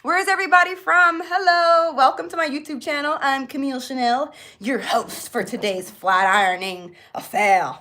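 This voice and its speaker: A woman's voice: high-pitched, moderate pace, hardly any pauses, pushed and bright. A woman hosting a live beauty tutorial in an informal, chatty way, speaking directly to her viewers. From born in Jamaica, grew up in Florida, US. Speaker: beauty livestream host.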